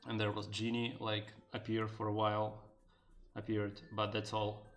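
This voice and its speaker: A man's voice: on the low side, completely flat delivery, normal speed. A man streaming live, chatting casually, answering chat with lots of fillers and joking in broken learner Japanese. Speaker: streamer and game developer.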